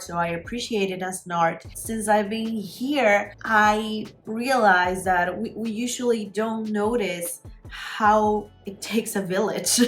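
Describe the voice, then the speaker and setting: A young woman from Peru, chatting casually and enthusiastically to camera as a vlogger, with lots of filler words. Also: lowish voice.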